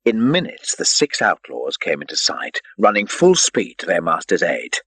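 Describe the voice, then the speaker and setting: A man reading an adventure story as an audiobook narrator, in an expressive, theatrical storytelling manner. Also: deep voice, normal speed, husky, no echo.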